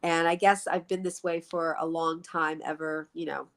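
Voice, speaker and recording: A woman's voice: low voice, little pitch movement, normal speed, a bit bright. A woman in her sixties from the Philadelphia Main Line, co-hosting a casual live chat, speaking reflectively, laughing often and repeating words while she thinks. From Philadelphia Main Line, USA. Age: 60s. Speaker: co-host.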